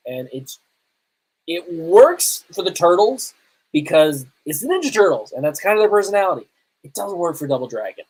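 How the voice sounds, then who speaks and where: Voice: medium pitch, poor audio. A podcast host with a mid-range voice talks through films in a casual live commentary style. The delivery is conversational and opinionated, with informal asides along the way.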